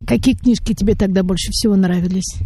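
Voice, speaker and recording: Woman radio host with a mellow voice. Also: medium pitch, steady tone, fast, slightly rough, dry recording. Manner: probing, reflective questions. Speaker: radio presenter. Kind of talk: radio interview and program hosting.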